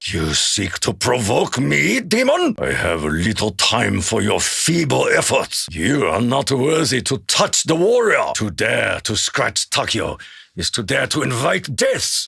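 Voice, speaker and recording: An actor playing a solemn, proud Japanese warrior in a video game, with a Japanese accent, a gravelly rasp and formal, stilted speech. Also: male voice, on the low side, pushed and bright, dry recording, studio quality, exaggerated voice. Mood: scornful. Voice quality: gravelly, raspy. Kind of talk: video game character voice acting.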